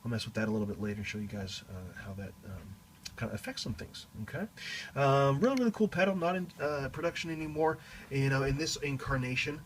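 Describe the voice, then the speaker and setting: A man giving a casual, explanatory demonstration of a guitar effects pedal in a halting way, as a teacher would. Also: mid-range voice, a little hiss or hum, poor audio. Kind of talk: casual product demo.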